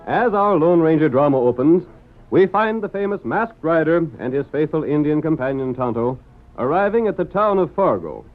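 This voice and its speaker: A man's voice: a little high, sing-song, normal speed. A man as a grand, declamatory American announcer narrating a western adventure in vintage radio style. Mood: dramatic narration. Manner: dramatic, declamatory. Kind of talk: 1930s-40s radio announcing. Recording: muffled vintage radio recording with music.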